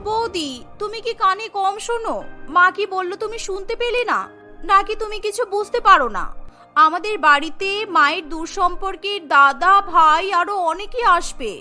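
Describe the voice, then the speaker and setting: A spoiled young woman from a cartoon, the haughty sister-in-law, put on by a female voice actor. She is very high-pitched and bright, with a snippy, demanding delivery. There is light music behind her. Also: moderate pace, heavily acted.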